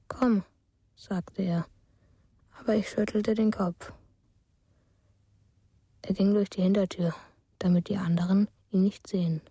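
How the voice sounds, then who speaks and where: An actress in a radio play voicing a dreamy, lonely woman who tells things slowly and simply. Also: mid-range voice, dark tone, husky, no room sound.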